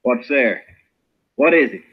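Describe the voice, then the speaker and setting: A husky, folksy frontier deputy. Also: male voice, mid-range voice, expressive, a little slow. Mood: uneasy. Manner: deferential, plain-spoken, folksy. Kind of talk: 1950s radio western acting. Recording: dull, muffled old radio recording.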